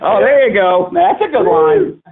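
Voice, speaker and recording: A man with a somewhat high voice moderates a press call over a thin, narrow phone line. His delivery is casual and wry, with a bantering touch. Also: very animated, a little slow, husky. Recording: tinny phone conference line.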